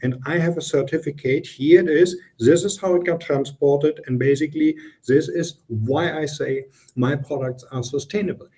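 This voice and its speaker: Middle-aged German-accented guest in a business interview, warm and relaxed tone, earnest, many ums. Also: male voice, a little high, normal speed, hardly any pauses, low-quality recording. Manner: earnest, explanatory, frequent ums and restarts. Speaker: guest consultant.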